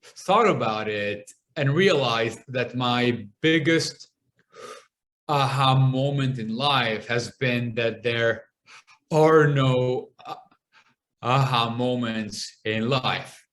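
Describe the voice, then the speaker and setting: A young man from Lebanon talks as a podcast guest, and his speech is marked by a stutter. He moves very slowly, stopping often and repeating sounds before words come out. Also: mid-range voice, fairly bright tone. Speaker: guest speaker and comedian. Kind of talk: podcast interview conversation.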